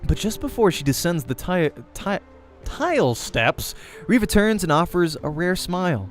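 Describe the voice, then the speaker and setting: A man's voice: sits high, sing-song, normal speed. A man reading a visual novel aloud as the host of a let's play, narrating in character voice and prose. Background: music.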